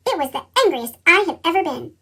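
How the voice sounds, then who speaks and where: A reader with a squeaky, pitched-up chipmunk effect, narrating a children's picture book and acting out the dialogue expressively. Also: fast talker, gravelly, exaggerated voice. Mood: earnest, determined. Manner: expressive storytelling, acts out dialogue.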